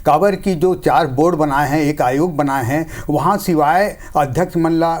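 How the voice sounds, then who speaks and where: A man's voice: a little high, normal speed. A man with a Chhattisgarhi accent arguing and explaining his side as a party spokesman in a political TV debate.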